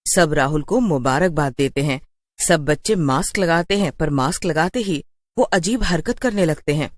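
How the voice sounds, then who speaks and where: Low-pitched woman narrating a story. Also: no room sound. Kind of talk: scripted horror story narration.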